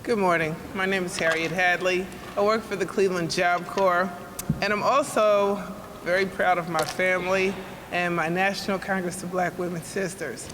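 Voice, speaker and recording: A woman's voice: fairly low pitch, fairly even intonation, moderate pace. A woman in workforce development giving a proud, sincere statement as a new commission member. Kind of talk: formal public statement. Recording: echoey hall with noise.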